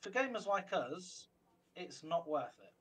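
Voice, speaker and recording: A man's voice: high-pitched, very animated, unhurried, fairly bright tone, breathy edge. A man, co-hosting a gaming podcast in rambling, opinionated casual chat. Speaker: podcast co-host.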